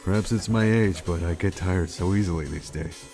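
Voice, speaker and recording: A man playing a calm, courteous older gentleman in a game fan dub, speaking in a measured, formal way. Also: sits low, music in the background, lightly acted. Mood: gentle, resigned.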